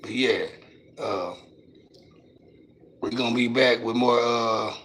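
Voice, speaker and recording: A raspy-voiced man, hosting a casual livestream and talking loose and profane into a phone mic from his couch at home. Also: mid-range voice, slow, a bit bright, a little hiss or hum. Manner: loose, profane, drawling street talk. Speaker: livestream host.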